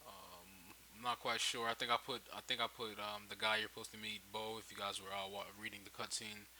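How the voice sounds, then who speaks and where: A man with a fairly low but very bright, cutting voice talks through a tutorial. He is informal and thinks out loud as he goes. The home recording carries a faint hiss. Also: little pitch movement, a little fast, rough recording. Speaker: game-making tutorial host. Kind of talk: casual screen-recorded tutorial narration. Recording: hissy home microphone recording.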